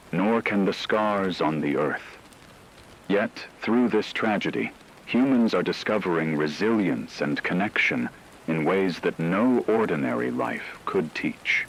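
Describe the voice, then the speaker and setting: Grave radio newsreader for an apocalypse drama, a man acting low and measured, faint noise behind. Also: vocal fry, heavily acted, low-quality recording. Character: grave male radio announcer reporting on an apocalyptic aftermath. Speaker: radio announcer. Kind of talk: scripted fictional emergency radio broadcast narration.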